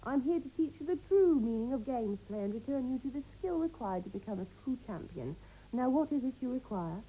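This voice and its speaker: Haughty games-tips presenter, mellow tone, slightly high, faint hiss. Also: female voice, expressive, rough recording. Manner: brisk, haughty. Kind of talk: comic presenting.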